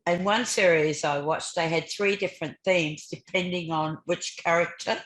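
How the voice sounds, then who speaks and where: An older Australian woman with a low-pitched voice, recorded in an echoey room. She talks casually as a podcast host, in a chatty way, doubling back on her words. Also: fairly even intonation, normal speed. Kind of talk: casual conversation. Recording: roomy, echoey sound.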